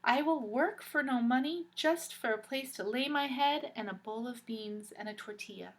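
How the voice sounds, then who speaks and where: A woman's voice: a little high, normal speed, voice pushed up, natural voice. A woman reading aloud and voicing a loyal older housekeeper who begs not to be sent away in a storybook. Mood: pleading. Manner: pleading.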